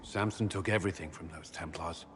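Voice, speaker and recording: An actor playing a solemn, devout military commander in a video game, brooding and righteous, with grave, restrained intensity. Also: male voice, low-pitched, a little fast, noisy background, natural voice. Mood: bitter, righteous. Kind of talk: dramatic video game dialogue.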